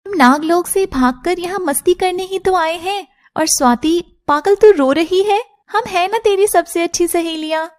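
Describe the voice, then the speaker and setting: A young serpent maiden in a cartoon, voiced by a woman, very high and girlish. She speaks in a chirpy, playful way with her friends. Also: normal speed. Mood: teasing, affectionate. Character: playful young female shape-shifting serpent girls.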